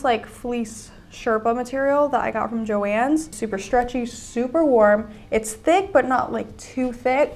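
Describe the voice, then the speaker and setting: A young woman with a slightly high voice talks through her plans in a casual, upbeat vlog style. She rambles warmly with plenty of "like" and "um" fillers. She mentions being a little sick, though she stays chatty. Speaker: sewing and fashion channel host. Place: indoors at home with sketchbook and iPad.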